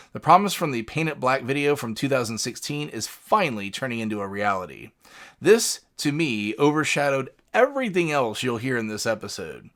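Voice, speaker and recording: Male podcast host, medium pitch, highly varied intonation, scripted commentary narration. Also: fairly bright tone.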